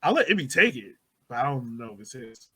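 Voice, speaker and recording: A man's voice: mid-range voice, sing-song, brisk, sharp tone, slightly rough. A man casually debating soccer on a podcast, insistently explaining his view step by step. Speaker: podcast host and soccer supporter.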